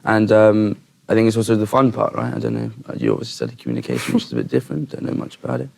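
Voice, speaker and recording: A man's voice: on the low side, animated, racing, gravelly, slightly rough. A young man from England, a student guest, chatting with hesitant restarts and rambling asides. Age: young adult. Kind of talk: casual chat.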